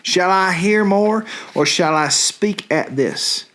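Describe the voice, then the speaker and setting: A man playing a lovestruck young noblewoman, reading Shakespearean verse in a halting, theatrical way. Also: high voice, a bit bright. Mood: yearning.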